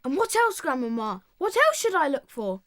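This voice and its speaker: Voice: high voice, sing-song, normal speed, fairly bright tone, no echo, studio quality. A performer playing a curious, wide-eyed seven-year-old English boy in an audiobook drama, full of incredulous questions. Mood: eager, amazed.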